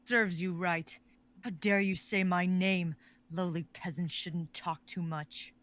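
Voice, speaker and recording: A man's voice: high voice, steady tone, takes it slowly, a bit bright, voice pushed up. A man voicing a haughty undead lady of the house who rules the wraiths, scornful and condescending. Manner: scornful, looks down on others.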